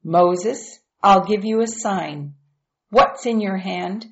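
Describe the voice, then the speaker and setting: A calm, commanding divine voice gives firm, reassuring instructions, as a woman reader puts it on. The delivery is slow and measured, on a dull, muffled recording. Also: mid-range voice. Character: God, calm patient commanding voice.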